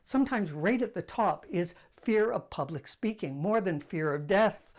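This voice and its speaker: An older man with a soft voice, hosting a casual talk to camera, telling stories in a conversational, self-deprecating way. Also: high voice, expressive, normal speed. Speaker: host.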